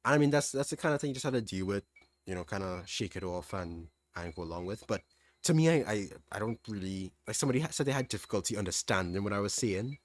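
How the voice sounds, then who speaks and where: A young man with a mild Trinidadian accent answering chat questions on a livestream, relaxed, thinking aloud with restarts. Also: fairly low pitch, quick pace. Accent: Trinidadian, fairly standard. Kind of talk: casual livestream Q&A.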